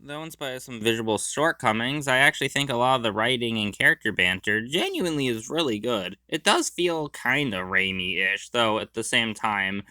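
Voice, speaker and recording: A man with a bright, clear voice recorded close and dry. He delivers informal review commentary as a host. His way of talking is conversational, softening opinions with small qualifications. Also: mid-range voice, expressive, normal speed, few pauses, no room sound.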